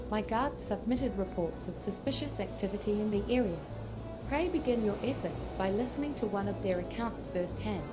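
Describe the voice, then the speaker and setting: A woman's voice: mid-range voice, natural voice, rough recording. A synthetic-sounding woman voicing a formal, dutiful dockyard officer in a fantasy game, with stately archaic phrasing. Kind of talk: scripted fantasy game dialogue read by text-to-speech.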